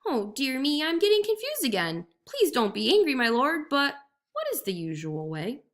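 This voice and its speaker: A woman's voice: high-pitched, huge pitch swings, normal speed, fairly bright tone, pitched above the performer's own voice, heavily acted. A woman voicing a sly male jackal who pretends to be muddled and speaks with fussy politeness in a storybook reading. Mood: meek. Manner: dithering, confused, overly polite.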